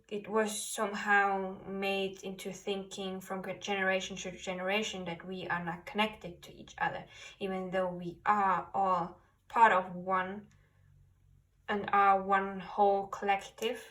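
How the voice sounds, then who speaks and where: Slow-paced non-native English-speaking woman, distant mic. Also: medium pitch, fairly bright tone. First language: not English. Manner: halting, searching for words. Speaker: tarot card reader. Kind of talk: spiritual card reading to camera.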